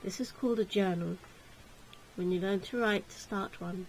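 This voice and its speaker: A woman voicing a stern yet gentle male tutor in a visual novel, speaking in a soft, measured, reflective way. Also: mid-range voice, slight background noise, voice pushed down, lightly acted, poor audio. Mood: quiet, wistful.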